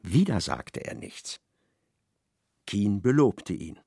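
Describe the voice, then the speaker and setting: A man narrating a radio play in a measured, ironic storytelling manner. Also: on the low side, big pitch swings, creaky, dark tone, husky, no echo, clean studio sound.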